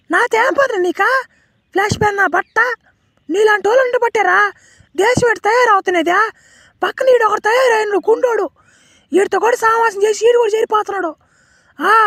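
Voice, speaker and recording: Feisty cartoon village woman played by a woman: outspoken, emphatic, tiny sky-high voice, bone-dry sound. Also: a little fast, pauses often, a bit bright, dry recording. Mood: scolding, indignant. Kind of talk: amateur comedy cartoon voice acting.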